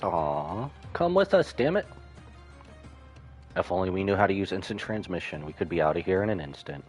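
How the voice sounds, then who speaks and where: A man's voice: deep voice, big pitch swings, moderate pace, breathy edge. A man livestreaming a video game with casual commentary, musing aloud to himself as he plays. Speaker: livestreaming gamer. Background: game music.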